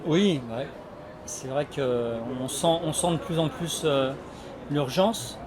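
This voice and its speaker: A man explaining his association's work in an interview, halting often as he searches for words. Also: fairly high pitch, background noise. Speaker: energy renovation association representative. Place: community associations forum in a sports hall. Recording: loud crowd hubbub at a fair. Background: loud crowd.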